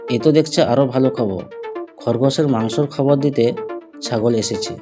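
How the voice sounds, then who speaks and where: Mid-pitched male storyteller over music. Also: moderate pace. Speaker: storyteller, narrator. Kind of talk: scripted cartoon fable narration.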